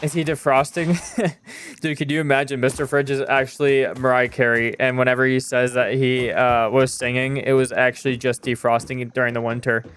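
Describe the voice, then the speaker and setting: A man's voice: medium pitch, little pitch movement, a little fast, fairly bright tone, a little hiss or hum. A young man streaming a game and chatting with viewers in a loose, rambling way, full of fillers and casual swearing. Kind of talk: casual live-stream chat while gaming.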